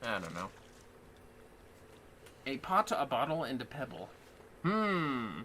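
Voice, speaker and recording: A man's voice: medium pitch, huge pitch swings, takes it slowly, bright, forceful tone, a little hiss or hum. A man chatting casually on a gaming livestream, joking and swearing as he plays. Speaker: game streamer. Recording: music behind the voice.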